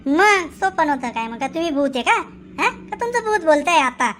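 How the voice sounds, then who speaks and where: A woman's voice: high-pitched, expressive, fairly quick, fairly bright tone, a little hiss or hum. A woman voicing a chattering, teasing village neighbour woman in a comic cartoon, with a Khandeshi Marathi accent. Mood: teasing, curious. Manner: exclamatory, gossipy, rural colloquial.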